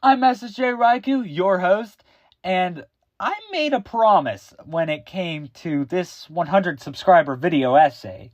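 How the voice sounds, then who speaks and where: A teenage male YouTuber putting on a high, energetic voice as if he were an animated character, his show-opening persona. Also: a little slow, no echo, muffled recording. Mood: excitable. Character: hyper young male cartoon-style YouTuber persona. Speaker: YouTube host.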